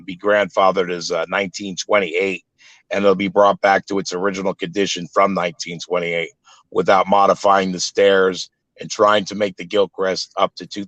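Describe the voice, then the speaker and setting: A man in his fifties with a deep voice, a guest talking earnestly about his restoration project, with many restarts. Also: fairly bright tone, no echo, rough recording. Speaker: guest, owner of a paranormal site.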